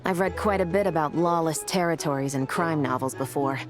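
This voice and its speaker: A woman's voice: sits low, fairly quick, over music, lightly acted. A woman voicing a composed, dutiful female detective in a video game.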